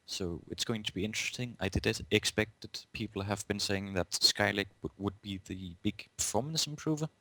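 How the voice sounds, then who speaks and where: A man's voice: fairly low pitch, a little fast, few pauses, bright, forceful tone, no echo. A man with a Danish accent, a non-native speaker co-hosting a casual tech podcast in a plain, matter-of-fact way. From Denmark. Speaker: podcast co-host.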